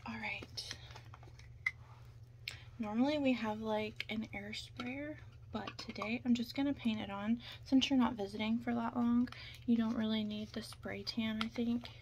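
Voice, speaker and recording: A young woman speaks in a hushed mix of whisper and soft talk for an ASMR roleplay. Her voice sits a little high, and she sounds chatty and hesitant. She is close to the mic, with a faint hiss behind her. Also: steady tone, measured pace.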